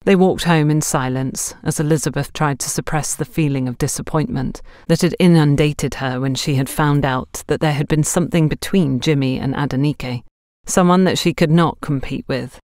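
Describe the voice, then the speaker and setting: A woman's voice: low-pitched, moderate pace, hardly any pauses, darkish tone, no room sound, studio quality. A woman reading a scripted story as an audiobook narrator.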